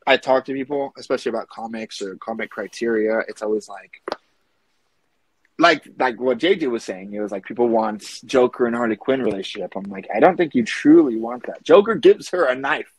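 A man's voice: mid-range voice, animated, brisk, husky, rough recording. A young adult man talking casually as a guest on a comics podcast. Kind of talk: casual chat.

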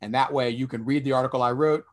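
A man's voice: mid-range voice, fairly even intonation, fast talker. Earnest man explaining on a video call. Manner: earnest, explanatory, with frequent restarts. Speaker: panelist. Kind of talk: panel discussion over video call. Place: small room indoors. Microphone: headset on a video call.

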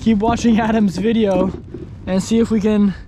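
A young man fishing from a kayak on open water, chatting casually to an action camera and breaking into excited exclamations. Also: high-pitched, normal speed, a little hiss or hum. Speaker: kayak angler. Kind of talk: casual fishing vlog commentary. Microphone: action camera mounted on the kayak.

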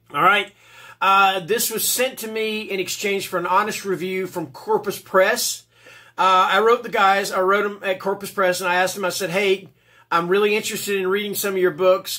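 A man's voice: high-pitched, bright, forceful tone. A man in his fifties with a stuffy, congested voice, hosting a rambling casual book review from a small room at home, full of fillers and restarts. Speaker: book reviewer, channel host. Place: small dim home room, seated indoors.

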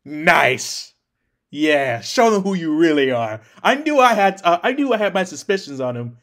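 A young man reacts casually in a high, very lively voice. He takes his time, talking in slangy, amused asides in a small room at home. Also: slow pace. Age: young adult. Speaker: anime reaction host.

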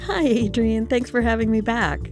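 A woman's voice: fairly high pitch, moderate pace, soft background music. A woman speaking as a podcast guest, giving conversational interview answers.

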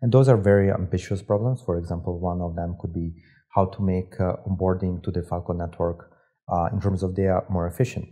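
Young Serbian-born man, deep relaxed voice, hesitant interview guest. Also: fairly quick. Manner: hesitant, many fillers and restarts. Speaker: guest, startup operator. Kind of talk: casual interview talk. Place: studio set with armchairs.

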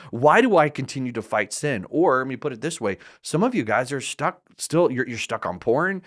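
A man in his forties, a pastor from the United States, hosting a solo podcast and talking earnestly and directly into a studio mic, often restarting and repeating words. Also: medium pitch. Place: small studio set indoors. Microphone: large studio mic in front of mouth.

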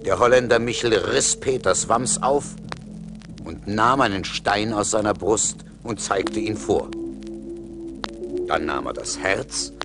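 A man's voice: fairly low pitch, lively intonation, takes it slowly, music in the background. A man narrating a scripted fairy-tale radio play as its storyteller.

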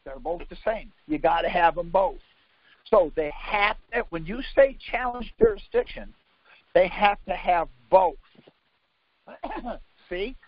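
High-pitched man, insistent quizzing teacher, informal, contradicts bluntly, muffled dry conference call audio. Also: sing-song, takes it slowly, no room sound. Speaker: conference call leader teaching legal theory. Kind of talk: informal instruction by questions. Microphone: conference call line.

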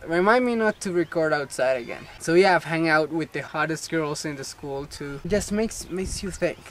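A man's voice: on the high side, expressive, normal speed, a bit bright, slight background noise. A young man turning eighteen, chatting casually and jokingly in an outdoor playground vlog, recorded on a camera mic. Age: young adult. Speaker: vlogger. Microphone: camera mic at arm's length.

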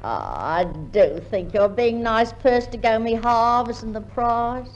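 High, cheeky 1950s Australian radio comedienne. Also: female voice, animated, dull audio. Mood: mock scolding. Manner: exclamatory, teasing, quick with comebacks. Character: cheeky, breezy younger sister in a comedy sketch. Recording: noisy 1950s radio recording.

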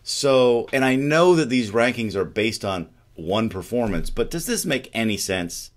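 A man's voice: medium pitch, expressive, a little slow. A middle-aged man hosting a casual discussion show, posing questions to his co-host over a video call. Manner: conversational, poses questions to co-host. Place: studio with dark backdrop.

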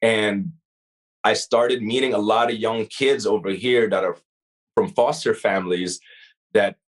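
A man's voice: lowish voice, steady tone, measured pace, poor audio. A man with a mixed Arabic-influenced English accent, a rapper as podcast guest, speaking thoughtfully with frequent restarts and fillers. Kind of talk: casual interview chat.

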